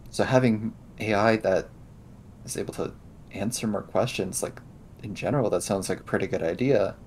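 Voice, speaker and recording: A man improvising in-character conversation in a tabletop roleplaying game, explaining things in a hesitant, halting way. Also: on the low side, darkish tone, slight background noise.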